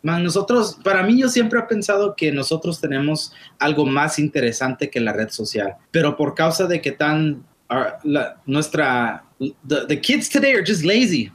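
A man's voice: a little high, lively intonation, normal speed, a bit bright. A man talking casually in a panel chat, often dropping English words into his speech.

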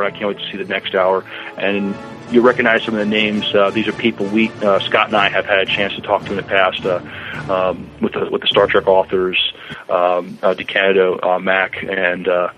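Guy with a lowish voice doing a podcast review, stumbles a lot, bit muffled, faint music. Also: a bit bright. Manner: halting, stumbles over words and names. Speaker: podcast co-host. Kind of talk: semi-scripted TV review. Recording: slightly dull lo-fi sound.

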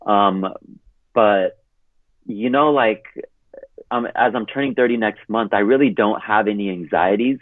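A man about to turn thirty, from California, rambles with a steady stream of fillers as a podcast guest. His voice sits a little low and comes through a tinny phone line, dry and close. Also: a little slow, pauses often, no echo. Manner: rambling, heavy use of "like". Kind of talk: casual interview chat.

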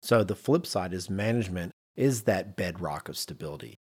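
Man, podcast host, lower-pitched, husky, conversational, emphatic delivery. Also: expressive, moderate pace. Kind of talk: conversational podcast discussion with read-out notes.

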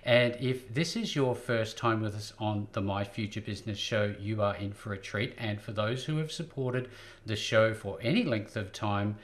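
Upbeat man hosting a podcast. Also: lowish voice. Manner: upbeat, fast promotional delivery with light laughs. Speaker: podcast host. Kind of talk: scripted show intro then interview questions.

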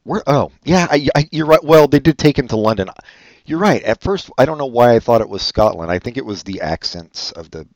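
A man's voice: medium pitch, animated, a little fast, darkish tone, dry recording. A man co-hosting a podcast, chatting casually about a movie in a dry, offhand way that often trails off. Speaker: podcast co-host.

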